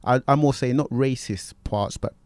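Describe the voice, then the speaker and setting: A man, hosting a podcast in a recording studio, talking in a relaxed, rambling way. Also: mid-range voice, normal speed, smooth, no echo. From Britain. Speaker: podcast host. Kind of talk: casual conversation.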